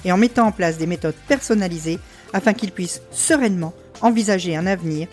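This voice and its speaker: A woman's voice: on the low side, fast, a little mellow, soft background music. A woman hosting a podcast as a school coach, reading a scripted introduction and then chatting informally with fillers.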